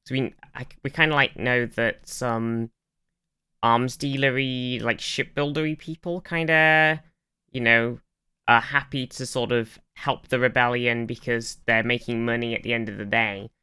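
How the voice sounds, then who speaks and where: Crisp, bright podcast co-host, halting delivery. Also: mid-range voice, fairly even intonation. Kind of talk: casual chat.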